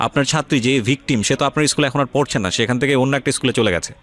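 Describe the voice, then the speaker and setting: A man with a Bangladeshi accent hosting a radio interview, asking probing, persistent questions in a measured manner. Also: mid-range voice, fairly bright tone. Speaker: radio show host interviewing. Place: radio studio.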